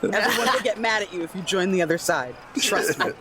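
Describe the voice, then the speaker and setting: A man's voice: high voice, lively intonation, brisk, over a noisy crowd. A man chatting casually as a player in a tabletop roleplaying game, slipping between character and table talk.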